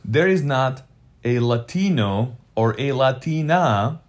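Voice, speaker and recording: Blunt, opinionated man, medium pitch. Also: animated, slow pace. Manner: blunt, scornful, emphatic. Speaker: podcast co-host. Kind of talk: casual opinionated banter.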